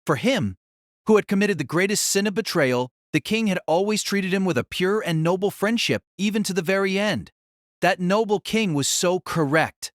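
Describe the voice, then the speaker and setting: A man's voice: high-pitched, moderate pace, no echo, studio quality. A man narrating a novel as a dramatic audio story, in a solemn, theatrical manner.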